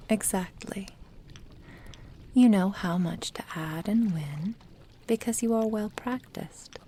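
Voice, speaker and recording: A woman playing a doting, possessive immortal witch in an ASMR roleplay, murmuring tenderly and intimately in a breathy whisper to her apprentice. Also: mid-range voice, dark tone, a little hiss or hum, lightly acted. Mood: gently encouraging. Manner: slow, tender, intimate delivery.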